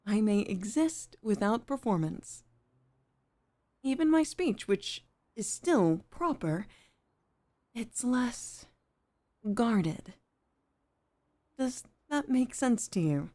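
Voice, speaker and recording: Woman doing a proper head maid girlfriend for asmr rp, real slow and soft warm tone, polite n measured, gently teasing. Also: on the high side, animated. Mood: calm, confiding. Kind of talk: intimate ASMR roleplay.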